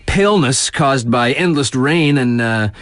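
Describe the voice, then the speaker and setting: An actor playing a laid-back, hip radio DJ in a video game, covering snowboard competitions with breezy, slangy commentary and chatty, hesitant asides. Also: male voice, medium pitch, expressive, measured pace, fairly bright tone, no room sound. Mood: musing, amused. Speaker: radio DJ announcer. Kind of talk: scripted radio sports commentary with casual ad-libbed asides.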